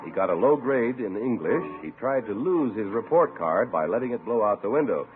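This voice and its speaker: A man's voice: fairly low pitch, expressive, normal speed. A man announcing from a script in 1950s radio style. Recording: narrow-band old radio broadcast recording.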